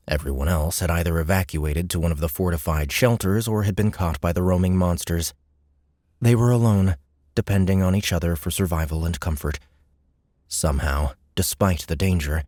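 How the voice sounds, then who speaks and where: A man with a deep voice narrates fiction as an audiobook reader. The delivery is scripted storytelling, recorded very dry and close. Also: a little mellow, no echo.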